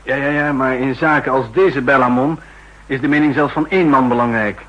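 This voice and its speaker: An actor playing a suspicious, quietly authoritative army general in a 1960s Dutch radio drama, husky and measured, weighing his words. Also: mid-range voice, steady tone, muffled recording, natural voice.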